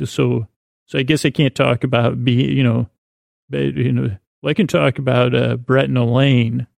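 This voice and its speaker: Male podcast host, creaky voice, dry sound, halting and tangent-prone, comedic bedtime rambling. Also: mid-range voice, a little mellow, no room sound. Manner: halting, meandering, trailing off mid-thought.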